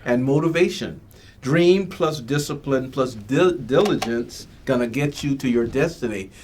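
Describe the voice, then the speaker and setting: A man's voice: mid-range voice, animated, moderate pace, darkish tone, slight background noise. A man from the Bahamas hosting a motivational talk show, speaking in preachy slogans. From Bimini, Bahamas. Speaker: host.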